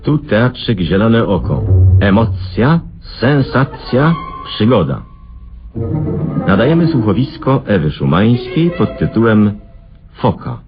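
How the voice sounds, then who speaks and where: A male announcer introduces a radio drama with theatrical flair. His fairly low voice moves slowly with wide intonation. It is a muffled old broadcast recording. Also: big pitch swings, over music. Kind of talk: dramatic scripted programme announcement.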